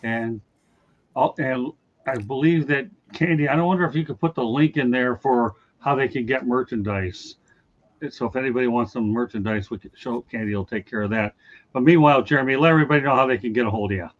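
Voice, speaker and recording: A man hosting a casual sports podcast, his voice going a little raspy as he hands off to co-hosts. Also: medium pitch, lo-fi sound. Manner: hands off to co-hosts, brisk wrap-ups. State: voice getting raspy. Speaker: podcast host.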